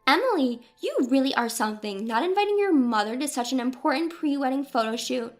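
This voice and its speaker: A woman's voice: high-pitched, lively intonation, fairly quick, soft background music. A woman voicing a spiteful, scornful middle-aged mother who talks in an indignant, accusatory way in a dramatized story.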